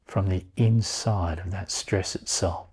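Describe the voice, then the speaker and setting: A man guiding a meditation in a calm, unhurried manner, leaving long pauses between short phrases. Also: sits low, slightly rough. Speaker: meditation guide. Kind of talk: guided meditation.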